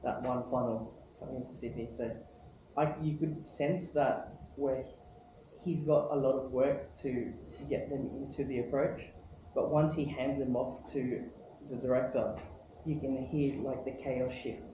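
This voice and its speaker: A man's voice: medium pitch, fairly even intonation, measured pace, noisy background. Informal man in very echoey room. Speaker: visiting pilot. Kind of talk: casual recounting with acted quotes.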